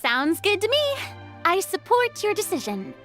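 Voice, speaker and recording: A cheerful, take-charge young woman from a video game. The voice is very high and swings in a lively way, supportive and decisive, with music clearly underneath. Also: unhurried, exaggerated voice. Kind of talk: video game cutscene acting.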